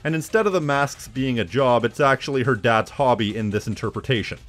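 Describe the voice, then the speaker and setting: A man's voice: medium pitch, a little fast, fairly bright tone, soft background music. A man hosting a video essay on games, giving casual scripted commentary in a wry, conversational manner.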